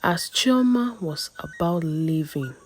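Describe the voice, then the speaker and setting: A woman with a Nigerian accent narrating a scripted folktale as a storyteller, with measured, dramatic pauses between short phrases. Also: on the low side, expressive, slight background noise.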